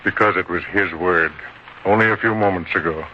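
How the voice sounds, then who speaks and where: An actor playing a kindly, authoritative older disciple in an old-time radio drama, speaking solemnly and deliberately. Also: male voice, low voice, natural voice. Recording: dull, noisy old-time radio recording.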